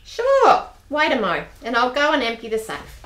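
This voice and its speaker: A brisk, bossy spinster clerk in her forties, played by a woman in a homemade radio drama. She talks in a matter-of-fact way with a lively, fairly high voice. The room around her sounds echoey. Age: 40s. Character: bold forty-something spinster bank clerk. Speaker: radio play actor. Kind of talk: amateur radio play acting.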